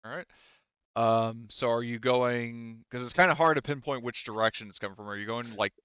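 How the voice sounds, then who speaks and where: A man's voice: medium pitch, steady tone, a little fast, fairly bright tone, smooth, no room sound, highs cut off. A man working as game master, improvising his narration in a hesitant, conversational way full of hedges.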